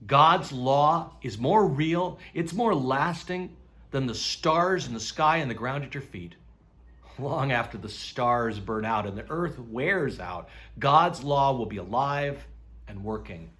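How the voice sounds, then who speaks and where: A man's voice: medium pitch, animated, slow, sharp tone. A man reading scripture aloud as a church reader.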